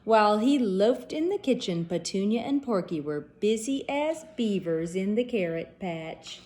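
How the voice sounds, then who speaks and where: Slow, expressive woman reading to kids. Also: mid-range voice. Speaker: P.E. teacher. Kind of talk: children's storybook read-aloud.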